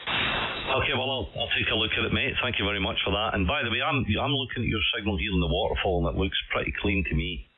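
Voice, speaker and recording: Deep-voiced man over ham radio. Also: quick pace, narrow, tinny audio. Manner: amiable, chatty, uses "mate". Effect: shortwave single-sideband radio transmission. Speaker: amateur radio operator. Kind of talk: casual radio contact chat. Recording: band-limited ham radio signal, faint hiss.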